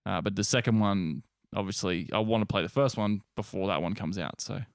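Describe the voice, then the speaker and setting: A man's voice: lowish voice, a little fast, vocal fry, clear, resonant tone, no echo, studio quality. A young Australian man co-hosting a gaming podcast, rambling and swearing casually in a laddish way. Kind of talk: casual banter.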